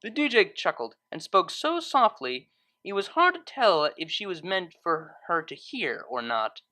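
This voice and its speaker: Woman narrating a story, really lively intonation, bright clear-cut delivery. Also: fairly low pitch, measured pace. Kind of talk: expressive scripted narration.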